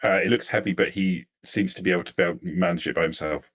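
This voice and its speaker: A man's voice: low-pitched, fairly even intonation, very fast, fairly bright tone. A man improvising narration as a game master, hesitant and repeating words as he searches for the right one.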